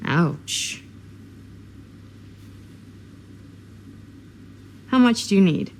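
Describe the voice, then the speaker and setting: An actress playing a worn-down young American big sister in a video game drama, talking in a wry, sardonic way. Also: female voice, medium pitch, animated, measured pace. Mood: dry bargaining. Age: young adult.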